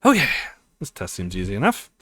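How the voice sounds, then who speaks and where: A man's voice: fairly low pitch, huge pitch swings, fairly quick, a bit bright, breathy edge, no echo, studio quality. A man reading a visual novel's narration aloud as a let's play host. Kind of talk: dramatic reading of game dialogue and narration.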